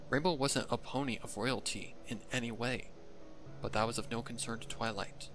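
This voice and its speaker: A man's voice: medium pitch, steady tone, moderate pace, a bit bright, soft background music. A man giving scripted narration of a fan fiction story.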